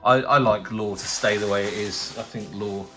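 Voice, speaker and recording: A man's voice: lowish voice, steady tone, moderate pace, over music, poor audio. Stuffy-nosed man chatting casually. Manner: chatty, rambling, frequent fillers and restarts. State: nasal from a cold. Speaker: tabletop wargaming channel host. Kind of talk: casual unboxing commentary.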